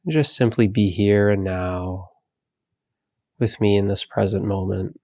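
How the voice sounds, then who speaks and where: A man guides a meditation in a fairly deep voice, recorded very dry and close. He speaks very slowly and gently, leaving long pauses between phrases. His manner is calm and soothing throughout. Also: no echo.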